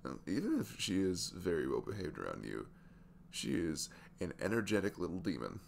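A man playing a sweet, tired single dad in his twenties in an intimate ASMR roleplay, talking haltingly. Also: low-pitched, wildly swooping pitch, vocal fry, a little hiss or hum, heavily acted. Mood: weary, gentle. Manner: hesitant, halting, softly chuckling.

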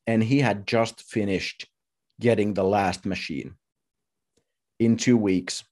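A man in his thirties giving a personal talk as a speaker, telling his story in short, halting, deliberate phrases. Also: fairly low pitch, steady tone, darkish tone. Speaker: conference speaker. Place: indoors at home, video call.

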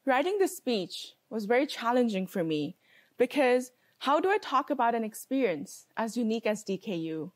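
A woman in her early twenties giving a prepared graduation speech as a student, reading in measured short phrases with pauses. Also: a little high, sing-song. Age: early 20s. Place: large indoor hall with wooden floor and stone wall.

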